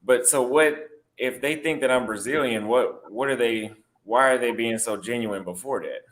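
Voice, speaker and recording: A man's voice: medium pitch, a bit bright. Texan-accented man, defensive guest in a casual podcast debate. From Texas, United States. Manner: defensive, halting restarts.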